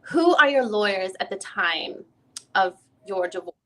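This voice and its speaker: A woman reading the part of a female lawyer who questions a witness in a deposition, in halting, rephrased questions. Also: medium pitch, lively intonation, a bit bright. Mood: probing. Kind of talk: dramatic reading of a legal deposition transcript.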